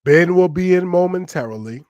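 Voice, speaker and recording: A man's voice: high voice, lively intonation, normal speed, a little mellow, no room sound. A man hosting a live podcast in laid-back, slangy casual chat, sounding nasal and congested from a sinus infection. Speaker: podcast host.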